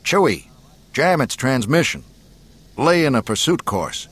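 A man's voice: on the low side, very animated, normal speed, a bit bright. A man playing a cocky, hot-tempered freighter pilot in an audiobook, brash and emphatic. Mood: brash, determined. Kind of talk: audiobook character acting.

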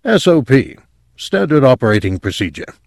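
A man's voice: mid-range voice, big pitch swings, moderate pace, a little mellow, dry recording, clean studio sound, pitched above the performer's own voice. A man voicing a fussy, self-satisfied technician who explains things pedantically in an audiobook. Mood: brisk, assured. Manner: pedantic, explanatory, hesitant throat-clearing. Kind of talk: audiobook character voice.

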